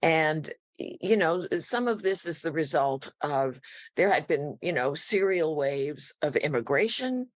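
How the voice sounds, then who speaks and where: A woman's voice: deep voice, expressive, unhurried. A woman explaining history conversationally as an interviewed expert. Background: music.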